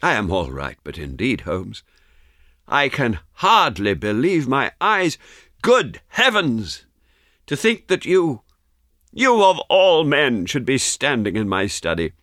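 A man voicing a detective's loyal gentleman friend in a storybook, earnest, excitable and emphatic. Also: low-pitched, moderate pace, hardly any pauses, no echo, clean studio sound, exaggerated voice. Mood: astonished.

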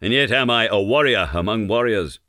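Man playing a fierce, boastful old warrior, bright-voiced. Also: on the low side, normal speed, no room sound, clean studio sound. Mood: grand, proud. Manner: declamatory archaic speech, boastful. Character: aging fierce Zulu warrior, boastful, bloodthirsty, grandly proud.

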